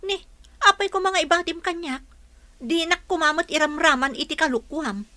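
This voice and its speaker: Woman acting practical young woman, very high bright voice, questioning, protesting, radio drama. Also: normal speed, dry recording.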